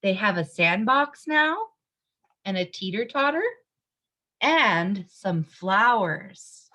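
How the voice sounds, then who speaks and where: Expressive woman reading to children, slow and bright. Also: mid-range voice. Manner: expressive, simple explaining to young children. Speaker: read-aloud storyteller for young children. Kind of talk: picture-book read-aloud with explanatory asides.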